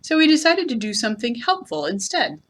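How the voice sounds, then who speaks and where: A woman storyteller narrating a children's storybook in an expressive, deliberately phrased read-aloud style. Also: fairly high pitch. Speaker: library storyteller.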